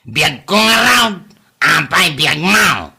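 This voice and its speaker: A man's voice: on the high side, sing-song, slow pace, vocal fry, sharp tone, breathy edge, heavily acted. A man playing a blustering, boastful, exclamatory uncle in a comedy drama. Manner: exclamatory, repeats words. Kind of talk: Ilocano comedy drama acting.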